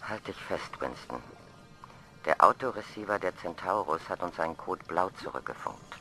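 An actor playing a calm male space agency official in a radio play, speaking dryly. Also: deep voice, moderate pace, faint music behind, exaggerated voice, low-quality recording.

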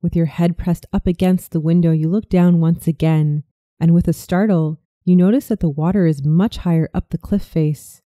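A narrator reading a scripted guided visualization story in a soothing, measured manner. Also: medium pitch, steady tone, mellow, no echo.

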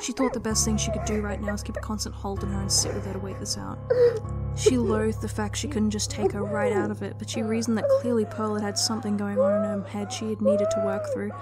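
A woman narrating a story as a dramatic reading, in an emotive, intense manner. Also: medium pitch. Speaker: narrator and writer. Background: prominent music.

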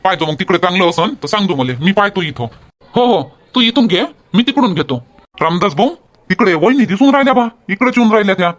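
A man's voice: high-pitched, animated, fast talker. A man voicing a folksy, talkative village man in a comedy cartoon, bantering colloquially with a Varhadi Marathi accent. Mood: chatty. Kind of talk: homemade comedy cartoon dialogue.

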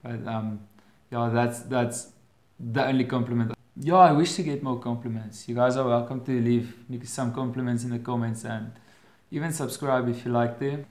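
A young man in his twenties with an Afrikaans accent, answering viewer questions in a casual home vlog, often pausing and restarting his phrases. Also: mid-range voice, steady tone, darkish tone, roomy sound. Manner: hesitant, restarts phrases with many fillers. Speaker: vlogger. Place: bedroom at home, indoors. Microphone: webcam at desk.

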